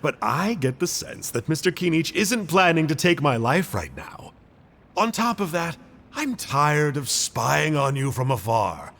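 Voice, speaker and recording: A cheeky, cheerful agent of a sinister order teases his captors in a slightly high, breathy voice. His pitch skips about brightly, and a long reverb trails his words. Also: exaggerated voice. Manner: chirpy, cheerfully teasing, mock-polite.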